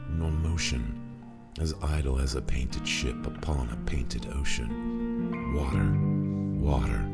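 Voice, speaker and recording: Very deep, gravelly man reciting dramatic verse. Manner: theatrical, brooding, emphatic, with whispers and cries. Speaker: poetry reader and performer. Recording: music under the voice. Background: guitar music.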